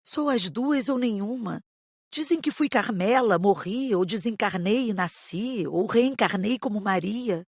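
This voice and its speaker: A woman's voice: mid-range voice, animated, unhurried, no room sound, clean studio sound. A woman playing a confused, vain and troubled spirit who is torn between identities, in a dramatized audiobook. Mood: wistful confusion.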